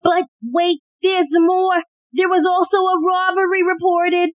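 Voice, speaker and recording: A woman's voice: very high-pitched, level tone, measured pace, no echo. A woman acting in an audio play as an anxious, eager police secretary bringing urgent news. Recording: thin phone-like audio, very dry.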